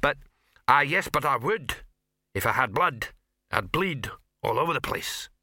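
A man's voice: medium pitch, animated, unhurried, pushed and bright, no room sound, studio quality, pitched above the performer's own voice, full character voice. A man voicing a flustered, placating male character who talks hesitantly and desperately. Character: flustered, placating man trying to keep peace.

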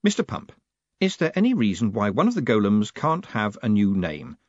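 A man voicing a flustered, nervous young postmaster who talks meekly with a jumpy, raised pitch. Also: big pitch swings, normal speed, dry recording, muffled recording. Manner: flustered, meek, pitch jumping high.

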